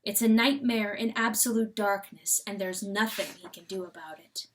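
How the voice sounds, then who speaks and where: A woman reading a novel aloud as dramatic narration, with theatrical, deliberate phrasing. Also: mid-range voice. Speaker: audiobook reader.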